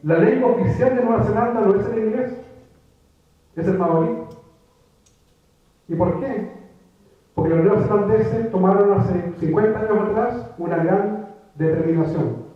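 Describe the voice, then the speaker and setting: A man's voice: high voice, a little slow, long pauses. Man speaking in an echoey hall. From Chile. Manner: reflective, with many restarts and repeated words. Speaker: writer giving a public talk. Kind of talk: conversational lecture. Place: lecture room before an audience. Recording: echoey phone-like room recording from far off.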